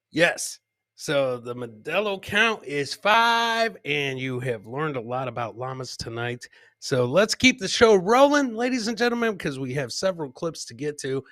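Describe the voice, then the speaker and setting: A man hosting a casual, boisterous comedy show with loud, joking banter. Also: a little high, dry recording, clean studio sound. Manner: profane. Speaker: podcast host.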